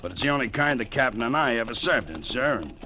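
Sharp-voiced, seasoned cavalry sergeant. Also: male voice, medium pitch, big pitch swings, quick pace. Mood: patient, respectful. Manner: respectful, folksy, matter-of-fact. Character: loyal, seasoned male cavalry first sergeant, plainspoken. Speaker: actor in a radio drama. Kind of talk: 1940s-50s radio acting. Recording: faintly noisy old radio recording.

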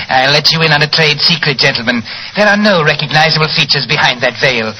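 Macabre, courteous museum proprietor, 1940s radio mystery. Piercingly bright, formal delivery, light noise on the recording. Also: male voice, a little high, brisk, poor audio. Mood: sly, confiding. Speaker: radio actor. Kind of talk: 1940s radio drama acting.

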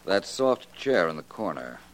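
An actor playing a suave, shrewd private detective, polished and wryly courteous, in a late 1940s radio drama. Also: male voice, deep voice, big pitch swings, a little slow. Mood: coolly probing. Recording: old 1940s radio recording.

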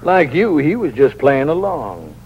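Older folksy lawman, slow, husky and coolly needling, muffled old radio crime drama. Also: on the high side, sing-song, full character voice. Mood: explaining, amused. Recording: dull vintage radio recording.

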